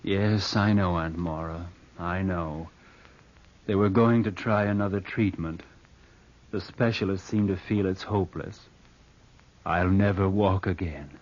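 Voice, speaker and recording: A man's voice: sits low, steady tone, measured pace, darkish tone. An actor playing a scheming, bitter nephew who broods as he narrates in an old-time radio drama. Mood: bitter, resigned. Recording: dull vintage radio recording.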